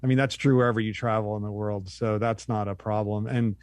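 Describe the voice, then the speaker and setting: A middle-aged man is a podcast guest. He speaks in a relaxed, self-deprecating way and thinks aloud as he answers. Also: fairly low pitch, a little fast, dry recording. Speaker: guest academic. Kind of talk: casual interview chat.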